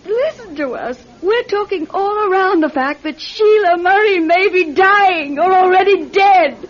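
Fearful, emotional sister, extremely high fraught voice, classic radio acting, dull recording. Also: female voice, measured pace. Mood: breaking down. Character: distraught, despairing woman afraid for her sister. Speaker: radio drama actor.

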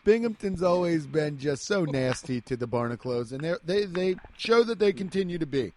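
A man's voice: on the high side, animated, moderate pace, slight background noise. A guest comedian, a man, improvising in a rambling, stammering, profane way over a video call.

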